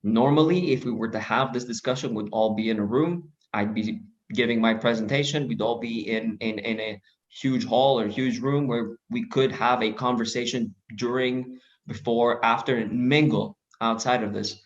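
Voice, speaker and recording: A man originally from Greece giving an online presentation, speaking in a measured way with frequent pauses and restarts. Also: mid-range voice, fairly even intonation, highs cut off. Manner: measured, many fillers and restarts. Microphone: video call.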